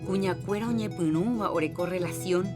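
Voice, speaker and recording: A woman with a Paraguayan accent reading a scripted public health announcement in a measured, informative way. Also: on the low side. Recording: music bed under voice.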